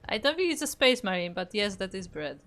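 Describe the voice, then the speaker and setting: A woman's voice: mid-range voice, sing-song, fairly quick, fairly bright tone. Casual female game co-caster over music. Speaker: esports tournament co-commentator. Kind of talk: casual chatty game commentary.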